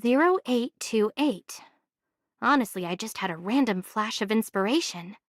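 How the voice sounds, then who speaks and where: A woman voicing a practical, inquisitive young female adventurer in an anime game, speaking in a casual, measured way. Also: fairly high pitch, animated, no echo, studio quality. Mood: dry, casual. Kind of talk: anime video game voice acting.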